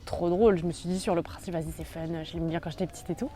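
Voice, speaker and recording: A woman's voice: lowish voice, steady tone, racing, dark tone. A young woman chatting casually in a book vlog, rambling with many fillers. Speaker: book YouTuber.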